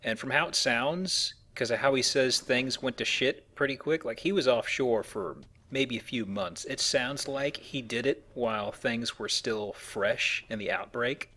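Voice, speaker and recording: A man's voice: mid-range voice, unhurried, hardly any pauses, bright, forceful tone. A man giving casual, joking video game commentary with playful delivery. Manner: jokey, playful exclamations. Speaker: let's play commentator.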